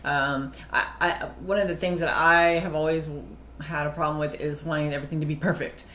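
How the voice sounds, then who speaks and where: A woman's voice: deep voice, fairly even intonation, brisk, a bit bright, a little hiss or hum. A middle-aged woman co-hosting a casual talk about marriage, telling stories and acting out what people said. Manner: chatty storytelling, acting out quotes. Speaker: co-host. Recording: echoey room with faint ambience.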